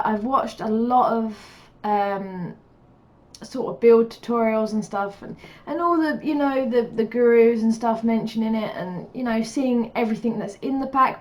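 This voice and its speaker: A woman with a stuffy cold, chatting through a game build in a casual, rambling voice-over with many fillers. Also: mid-range voice. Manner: rambling and chatty, lots of fillers, laughs often. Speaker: gaming YouTuber.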